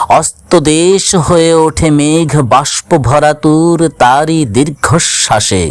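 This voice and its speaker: A man gives a dramatic recitation of verse at a slow, deliberate pace. His mid-pitched voice carries a solemn sorrow, with expressive, drawn-out phrasing. The recording is dry and close, with hardly any room sound. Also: smooth, no echo. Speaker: poetry reciter. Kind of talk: dramatic Bengali poetry recitation.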